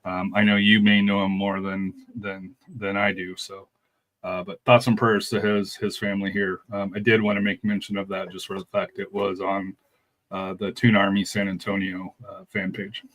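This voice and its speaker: A man on a casual podcast, speaking haltingly with many fillers and repeated words. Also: low voice, flat delivery, fairly bright tone. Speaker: podcast co-host.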